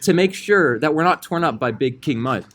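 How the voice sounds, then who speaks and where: A man's voice: fairly high pitch, lively intonation, moderate pace, a bit bright. A man hosting a comedic podcast, speaking in mock-serious, declamatory banter. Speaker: podcast host.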